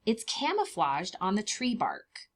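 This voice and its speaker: A woman's voice: mid-range voice, measured pace. A woman hosting a read-aloud lesson for preschoolers, explaining things simply and expressively and putting questions to her young listeners. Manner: expressive, emphasizing key words for small children. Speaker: children's read-aloud host and preschool teacher.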